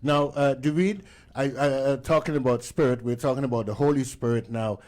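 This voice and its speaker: A man's voice: mid-range voice, fairly quick, darkish tone. A man hosting a radio interview, affirming and encouraging his guest. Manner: affirming, encouraging, frequent backchannel. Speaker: radio host.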